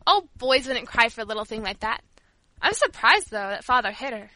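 A woman's voice: on the high side, sing-song, a little fast, sharp tone, no echo. A woman voicing an opinionated, curious young boy in an audiobook, blunt and teasing in manner. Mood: admiring, cocky.

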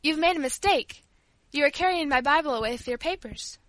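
A woman's voice: sits high, fairly quick, sharp tone, no echo. A woman voicing a devout, timid young orphan girl in an audiobook, polite and imploring.

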